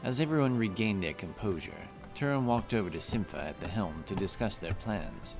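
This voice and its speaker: A man's voice: lowish voice, lively intonation, moderate pace, over music. A man narrating a scripted fantasy story.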